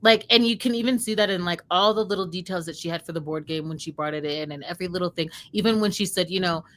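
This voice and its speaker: A woman's voice: lowish voice, quick pace, fairly bright tone. A woman in a casual book discussion, talking conversationally with lots of "like" and "you know".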